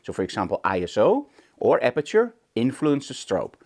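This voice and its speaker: A man's voice: mid-range voice, huge pitch swings, moderate pace, darkish tone. A man hosting an informal photography tutorial, speaking conversationally and emphatically with rhetorical questions. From Netherlands. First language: Dutch. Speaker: photography instructor, show host. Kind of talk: informal tutorial talk to camera.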